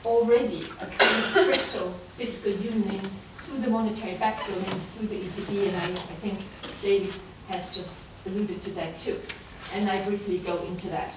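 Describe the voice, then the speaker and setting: A woman's voice: medium pitch, fairly even intonation, normal speed, few pauses. German-accented woman lecturing in an echoey hall. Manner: hesitant, many fillers and restarts. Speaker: guest lecturer. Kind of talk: academic talk to students. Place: large lecture room. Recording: echoey lecture hall from far off, background noise.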